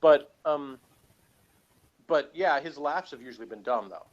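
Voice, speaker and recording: A man's voice: fairly high pitch, expressive, unhurried, highs cut off. A man co-hosting a casual review chat, talking in a rambling, opinionated and sardonic way. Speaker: co-host of a TV episode review show.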